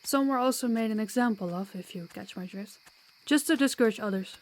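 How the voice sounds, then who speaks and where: A woman's voice: a little high, a little fast, natural voice. A woman playing a sociable, kind vampire guide in an audio roleplay, chatty and reassuring with a playful streak. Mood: friendly, explanatory.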